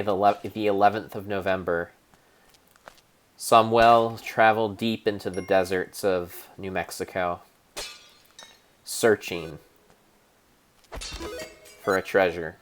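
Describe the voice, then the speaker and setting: A man improvising a mock-dramatic narration of a game in a deadpan storytelling manner, often stopping to correct himself. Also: fairly low pitch. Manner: deadpan storyteller, halting restarts and self-corrections.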